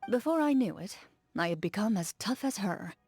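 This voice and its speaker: An actress playing a stoic young woman duelist, speaking in a calm, measured, formal and reserved way. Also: mid-range voice, soft background music, natural voice. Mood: reflective. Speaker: game character. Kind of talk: game character voice lines.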